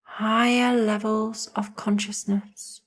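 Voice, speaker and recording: Slow-paced woman guiding a meditation. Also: on the high side, little pitch movement. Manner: soothing, hushed, with long pauses between short phrases. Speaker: guided meditation narrator. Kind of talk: slow guided meditation narration.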